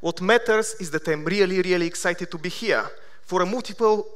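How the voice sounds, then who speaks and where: A man in his thirties from Bulgaria with a Bulgarian accent, giving a conference keynote with self-deprecating deadpan humor. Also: high-pitched, brisk, smooth. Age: 30s. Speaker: conference speaker. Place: large conference hall.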